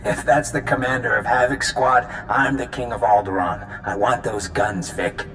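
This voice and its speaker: An actor playing a vengeful crime boss in a video game, with a threatening, sneering manner. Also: male voice, mid-range voice, fairly even intonation, normal speed, over music, natural voice, poor audio. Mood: menacing, sneering. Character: menacing, vengeful arms-deal crime boss. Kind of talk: video game cutscene dialogue.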